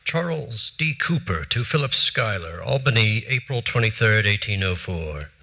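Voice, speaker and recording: Brief: a cold, exacting period politician; crisp diction, sharp bright tone. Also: male voice, fairly low pitch, expressive, normal speed, exaggerated voice. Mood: formal. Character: formal, cold early American politician, man. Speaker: stage actor reading letters. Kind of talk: dramatic period letter reading. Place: large theater stage.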